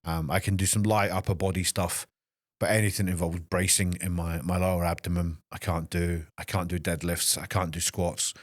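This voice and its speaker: A Scottish man in his fifties, a fitness trainer hosting a solo podcast, speaking in an emotional, halting way with many restarts. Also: sits low, flat delivery, dry recording, clean studio sound. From Scotland. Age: 50s. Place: small podcast studio.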